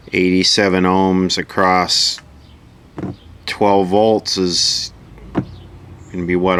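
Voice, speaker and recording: A man's voice: deep voice, fairly bright tone, slight background noise. A man giving an informal hands-on repair tutorial, matter-of-fact and thinking aloud piece by piece as an instructor.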